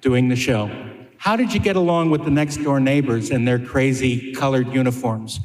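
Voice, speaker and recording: A man's voice: mid-range voice, normal speed, darkish tone. A man co-hosting a live panel, bantering with guests and asking them questions. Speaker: panel host, interviewer. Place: large indoor hall, live panel event. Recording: very echoey hall, long reverb.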